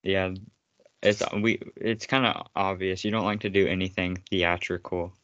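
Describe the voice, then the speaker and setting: Deep-voiced man teasing in a dry room. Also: fairly even intonation, normal speed, a bit bright, clean tone, no room sound, highs cut off. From United States. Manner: rambling, teasing, laughs often. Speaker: podcast co-host. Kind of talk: casual chat.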